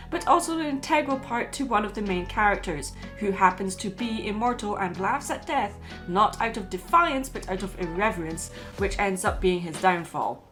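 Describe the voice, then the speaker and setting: A woman's voice: mid-range voice, a bit bright. Woman narrating a video essay over music. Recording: music playing behind the voice.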